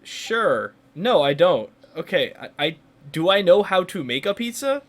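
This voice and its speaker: A man's voice: high voice, sing-song, measured pace, fairly bright tone. A man giving casual, joking and self-deprecating commentary as he plays through a video game. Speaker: gaming video host.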